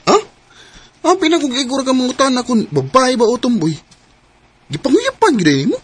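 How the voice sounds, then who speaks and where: Excitable high-voiced teasing young buddy. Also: male voice, normal speed. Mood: amused disbelief. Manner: animated, jeering. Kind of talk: radio drama acting.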